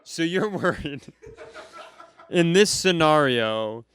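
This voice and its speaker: A man's voice: on the high side, animated, slow, a bit bright, smooth. A man co-hosting a live comedy podcast, rambling as he asks playful, needling questions. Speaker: podcast host. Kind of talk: casual comedic chat.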